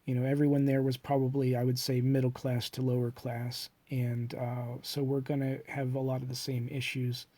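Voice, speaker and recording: Congested man musing with many pauses. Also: mid-range voice, little pitch movement, dark tone, dry recording. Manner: hesitant, many fillers and restarts, reflective. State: congested from a cold. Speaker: self-published author. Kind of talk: rambling personal monologue.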